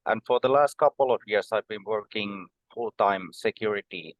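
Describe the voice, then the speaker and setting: A man in his forties from Finland, a podcast guest explaining things in a measured way, with many um and uh pauses. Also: on the low side, no echo, highs cut off. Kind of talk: conversational podcast interview.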